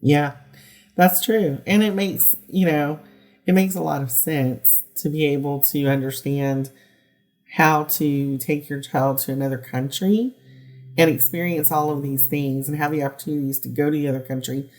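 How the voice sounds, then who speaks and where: Woman podcast host, pretty low voice, casual chatty interview style. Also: steady tone, normal speed, a little mellow.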